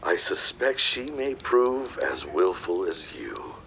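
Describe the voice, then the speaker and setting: Scheming businessman with swooping, dramatic pitch. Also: sing-song, slow, vocal fry, a bit bright, slight background noise, exaggerated voice, low-quality recording. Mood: calculating. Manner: smug, formal, theatrical. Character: scheming, domineering businessman in a 1916 period drama. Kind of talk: audio drama acting.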